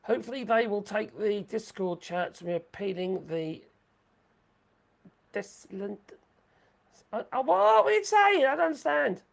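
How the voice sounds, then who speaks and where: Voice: mid-range voice, sing-song, unhurried, creaky, pauses often. A livestream host rambling through sarcastic commentary, saying the voice is going. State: losing voice.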